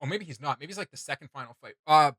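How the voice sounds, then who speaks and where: A young man hosting a reaction video, chatting casually in an animated, rambling, slangy way. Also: fairly high pitch, rapid-fire, no room sound, clean studio sound. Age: young adult. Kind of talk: casual enthusiastic reaction chat. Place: small indoor room with desk and shelves.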